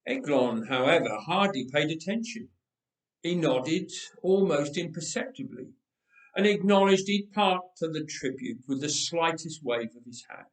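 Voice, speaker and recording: A man reading a story aloud in a dramatic, expressive, measured way with deliberate pauses. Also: on the high side, far from the mic. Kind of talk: dramatic scripted story narration. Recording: roomy, echoing indoor sound.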